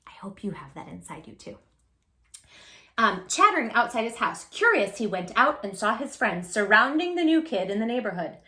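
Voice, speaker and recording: A woman's voice: mid-range voice, bright, forceful tone. A woman hosting a children's read-aloud, chatting to young listeners in an encouraging way and addressing them directly with questions. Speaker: host reading picture books aloud to children.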